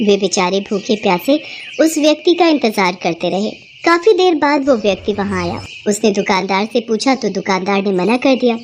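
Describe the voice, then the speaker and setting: A woman's voice: medium pitch, animated, moderate pace, darkish tone, a little hiss or hum. A woman narrating a scripted children's moral story as the storyteller.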